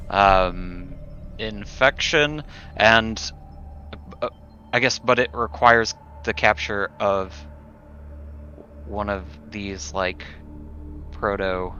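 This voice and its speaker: A man with a fairly low, forceful voice talks casually through game plans. He is hesitant and takes his time. The audio is slightly dull, with music underneath. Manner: hesitant, halting. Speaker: tabletop game player. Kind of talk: casual in-game planning chat.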